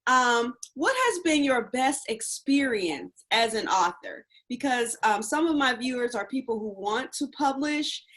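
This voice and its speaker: Woman, interview host, casual chat, enthusiastic, fairly high voice. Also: lively intonation, a little slow, hardly any pauses. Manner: warm, enthusiastic praise.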